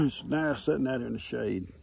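A man, hoarse from losing his voice, chatting and teasing his farm animals outdoors into a phone held at arm's length. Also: medium pitch, lively intonation, a little slow, a little mellow, no room sound. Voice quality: gravelly. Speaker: farm vlogger. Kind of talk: casual talk to animals and camera. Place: outdoors under a shade tree on a farm.

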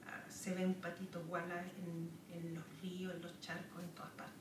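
A Chilean woman poet from the south of Chile gives a poetry reading at a podium in a lecture room, reciting slowly with pauses between lines. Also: lowish voice, monotone. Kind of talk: poetry reading with spoken asides. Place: wood-paneled lecture room, standing at a podium. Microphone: camera far across the room. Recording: roomy, distant, noisy room recording.

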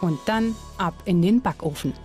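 A woman's voice: fairly low pitch, normal speed. Woman narrating a documentary over music.

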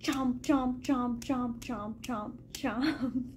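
A woman's voice: fairly high pitch, fairly even intonation, extremely slow, a little mellow, a little hiss or hum. A woman voicing a playful gator for children, chanting chomps in a rhythmic sing-song.

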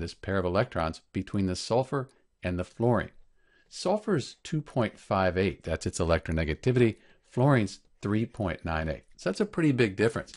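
A man with a fairly low voice narrates a tutorial like a teacher. His intonation is very animated, rising and falling often as he explains each step in an orderly way. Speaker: chemistry teacher, presenter.

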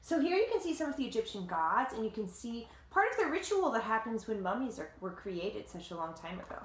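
A woman in her thirties reading a picture book aloud to children like a teacher, with dramatic, deliberate phrasing and simple explanations, recorded in a living room. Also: on the high side, very animated. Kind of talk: expressive storybook read-aloud with explanatory asides. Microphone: camera or phone a short distance away. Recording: roomy home echo.

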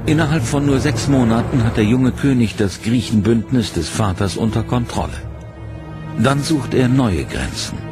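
A man giving scripted, measured documentary narration in a dramatic tone. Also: medium pitch, animated. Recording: narration over loud music.

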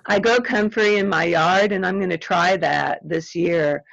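A middle-aged woman, answering audience questions in a friendly, chatty, self-deprecating way. She is losing her voice and clears her throat now and then. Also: lowish voice, slow, no room sound. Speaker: extension weed scientist answering audience questions. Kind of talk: casual Q&A at end of webinar. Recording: dry, slightly dull webinar audio.

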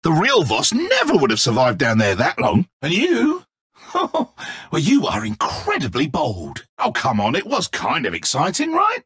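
A flamboyant, godlike game villain, with a clean, smooth voice that swings wildly from low to high. He draws his words out slowly, mock-friendly and condescending, full of petty ego. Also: wildly swooping pitch, fairly bright tone, no echo, clean studio sound, exaggerated voice. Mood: smug, mocking. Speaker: video game voice actor. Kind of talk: theatrical video game character acting.

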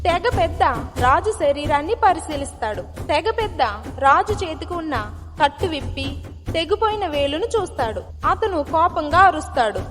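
A woman with a clearly high voice narrates a scripted moral tale. Long hall reverb surrounds her, and music plays behind. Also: a bit bright.

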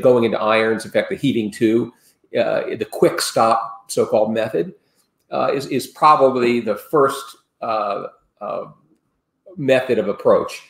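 A man's voice: mid-range voice, creaky. A man in his sixties, a sailing expert guest on a video call from home, explaining safety steps in a rambling, earnest way with many restarts. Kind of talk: casual explanatory interview talk. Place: home room, indoors. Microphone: video call webcam. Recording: roomy video call audio.

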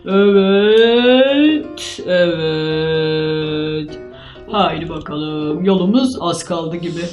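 A woman's voice: on the low side, drawn-out words, a little mellow, voice pushed down. A woman voicing an overconfident, doting husband doll in a playful cartoon, insistent and reassuring, easily flustered. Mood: breezy, stubborn. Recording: roomy echo, music underneath.

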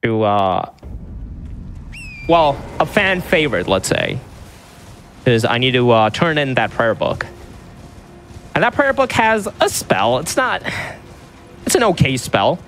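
A man's voice: medium pitch, takes it slowly, long pauses, faint music behind. A man in his twenties, a speedrunner, chatting casually and joking at himself while he plays. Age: 20s. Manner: lively, chatty, self-mocking. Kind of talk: casual commentary while playing.

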